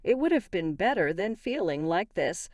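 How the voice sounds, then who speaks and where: A woman's voice: on the low side, big pitch swings, moderate pace, darkish tone, dry recording, clean studio sound. A woman playing a wronged, indignant wife in a narrated story, argumentative and emphatic. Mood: accusing, hurt. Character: wronged, indignant young wife confronting her in-laws. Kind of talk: acted dialogue in a narrated story.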